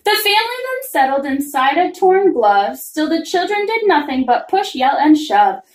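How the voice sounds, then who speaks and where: Female storyteller for children's picture book, very animated singsong read, roomy sound. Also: high-pitched, moderate pace. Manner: expressive, singsong rhyming delivery. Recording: roomy, echoing room sound.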